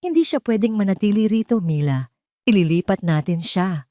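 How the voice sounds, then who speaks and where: A woman's voice: mid-range voice, animated, moderate pace, warm tone, dry recording, clean studio sound, close to an everyday voice. A woman voicing a resolute, commanding man in an emotional audio drama, speaking with urgency. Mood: firm, decided.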